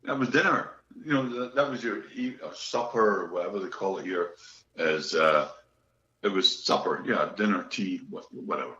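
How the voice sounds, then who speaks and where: A man's voice: deep voice, moderate pace, bright, forceful tone. A middle-aged Scottish man, a guest musician, telling stories in a chatty, joking way. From Scotland. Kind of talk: casual interview chat. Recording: echoey room, dull lo-fi sound.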